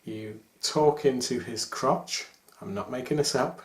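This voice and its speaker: A man's voice: mid-range voice, a little slow. A man in his forties chatting casually to camera as he presents collectible figures from a room at home. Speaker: toy collector presenting a video. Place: small domestic room indoors. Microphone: camera microphone at about arm's length. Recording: echoey room with faint noise.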